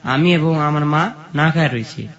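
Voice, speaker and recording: A high-pitched male voice with a rural Bangladeshi accent, slightly muffled in the recording. He tells his life story to an interviewer in a plain, repetitive way, moving step by step through what happened. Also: normal speed, fairly bright tone. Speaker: guest telling life story. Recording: dull, muffled lo-fi sound.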